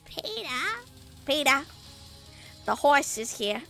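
A raspy, under-the-weather young woman chats through a game stream in a high voice, taking her time over her words. She hums little la-la tunes, curses at her keyboard and teases her chat. Faint game music plays underneath. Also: big pitch swings, slow, bright, forceful tone. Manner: sings la-la to herself, swears, jokes with chat. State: sick, raspy voice. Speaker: VTuber streamer.

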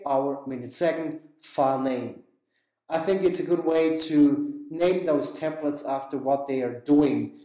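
A man, not an English speaker, talking through a screen-recorded photography software tutorial in a relaxed way with many fillers. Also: a little high, roomy sound. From Switzerland. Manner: relaxed, explanatory, with frequent fillers.

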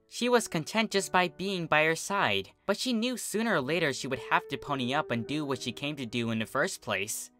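Animated story narrator w a bright voice, reading fanfic, music behind. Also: medium pitch. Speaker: fan fiction reader.

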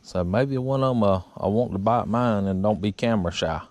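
Low-voiced man fishing from a boat. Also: a little fast. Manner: relaxed drawl with frequent uh fillers. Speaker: fishing vlogger. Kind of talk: casual narration while fishing. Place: small boat on a swampy river outdoors. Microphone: action camera on the boat.

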